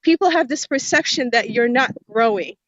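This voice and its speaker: A soft-spoken woman from Somalia, speaking thoughtfully with a somewhat high voice. The audio is dull and lo-fi, and dry with no room sound. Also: expressive, normal speed, no room sound. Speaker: panelist. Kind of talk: reflective group discussion. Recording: muffled lo-fi audio.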